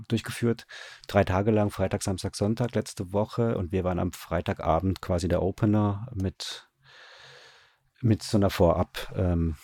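A man with a very low, gravelly voice talks through his work as a director in an interview. His tone is dark and soft-edged, and he often restarts while searching for the right word. The sound is dry. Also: dry recording. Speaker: radio drama director being interviewed. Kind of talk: conversational interview answers.

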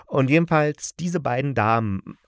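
A man's voice: medium pitch, animated, a little slow, clean tone, no room sound, clean studio sound. A man, a podcast host casually reviewing radio plays in a rambling, playful, colloquial way.

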